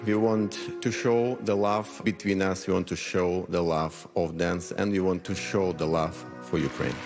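A man's voice: low-pitched, fairly even intonation, unhurried, vocal fry. Earnest man contestant over music. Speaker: dancer, contestant. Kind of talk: earnest talk to judges. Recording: music behind, TV stage.

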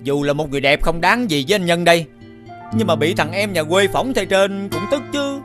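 Needling gambler sidekick, cartoon fairy tale, high-pitched, sycophantic, lively swings, music behind. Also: male voice, a little fast. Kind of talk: animated fairy-tale voice acting. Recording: plucked-string music behind the voice.

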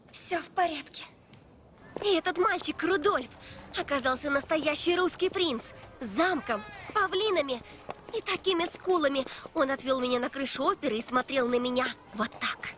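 A woman voicing an eager, naive, spirited young girl in an animated film. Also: high voice, moderate pace. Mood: dreamy, smitten.

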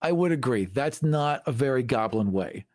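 A man's voice: medium pitch, animated, normal speed, darkish tone, no echo, studio quality. A man co-hosting a podcast, casually discussing tabletop games and their monsters. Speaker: podcast co-host.

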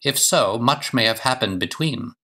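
A man playing a brisk, analytical gentleman detective in an audiobook, speaking formally with precise, clipped delivery. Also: mid-range voice, lively intonation, voice pushed up. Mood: cautious, frank. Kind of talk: audiobook character dialogue.

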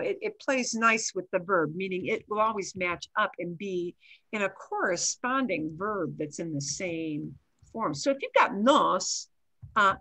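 An older woman in her sixties leads an online Spanish lesson through a headset mic. Her voice is bright and forward, and she moves easily between Spanish and English, explaining step by step with chatty little asides. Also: medium pitch, rough recording. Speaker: Spanish teacher. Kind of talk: online class teaching. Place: home video call.

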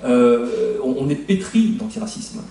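Halting middle-aged male historian, echoey room. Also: mid-range voice, lively intonation, creaky, dark tone. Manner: halting, many fillers and restarts. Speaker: historian on a debate panel. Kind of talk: spontaneous panel talk. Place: conference room, seated at a panel table. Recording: echoey room, mic far off.

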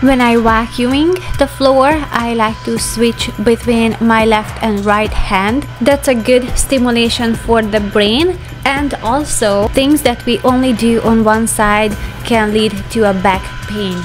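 Higher-pitched woman narrating over music. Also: steady tone, unhurried, hardly any pauses. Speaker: home vlogger narrating a cleaning routine. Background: background music.

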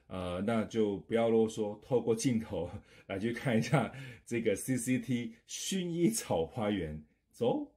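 A man's voice: fairly low pitch, animated, measured pace, hardly any pauses, mellow. A middle-aged man, chatting informally to camera as a travel vlogger in a small office. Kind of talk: casual chat to camera. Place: small indoor home office.

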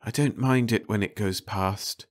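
A man's voice: fairly low pitch, unhurried, lightly acted. A man voicing an uneasy car-shop worker in an audiobook, nervously reassuring himself. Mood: nervous dread. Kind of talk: audiobook character voice.

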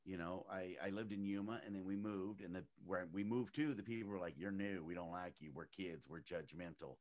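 A middle-aged man with a very low, deep voice, raised in Arizona. He chats casually as an interview guest, telling stories with animation and leaping up in pitch whenever he acts out what other people said. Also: brisk. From United States, raised in Arizona.

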